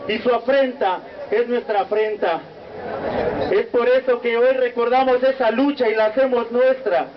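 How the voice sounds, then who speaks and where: A young man with a Mexican accent reading a solemn protest speech as a student speaker, in a measured, declamatory cadence. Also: very high voice, steady tone. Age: young adult. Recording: muffled lo-fi recording with faint noise.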